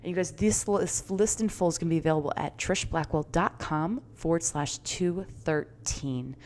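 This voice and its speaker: A woman's voice: lowish voice, normal speed, darkish tone. A middle-aged woman hosting her own podcast as a confidence coach, chatty and enthusiastic, encouraging her listeners with a few fillers. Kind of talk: upbeat solo podcast talk.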